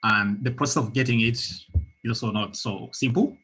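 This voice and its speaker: A man's voice: mid-range voice, moderate pace, fairly bright tone, slight background noise, low-quality recording. A man from Kenya speaking in an online meeting, often repeating words as he looks for the right phrasing. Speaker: meeting participant.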